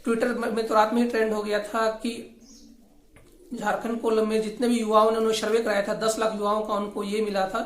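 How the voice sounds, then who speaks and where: A woman's voice: mid-range voice, flat delivery, fairly quick. A woman hosts informal political commentary, speaking critically and argumentatively and often repeating her points. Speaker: host of a regional affairs channel. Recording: echoey, roomy voice recording.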